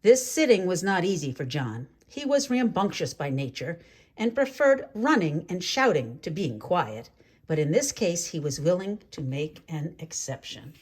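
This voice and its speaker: A woman reading a children's story aloud in an expressive, deliberate way with dramatic phrasing. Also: fairly low pitch, husky. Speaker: storybook reader. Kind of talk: read-aloud children's story narration with asides.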